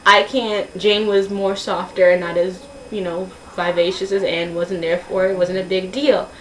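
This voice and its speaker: A woman's voice: mid-range voice, level tone, a bit bright. Woman talking casually in an echoey room. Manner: earnest, opinionated, halting with self-corrections. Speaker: vlogger posing opinion questions to viewers. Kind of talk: casual opinion vlog talk. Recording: roomy echo, distant mic, faint hiss.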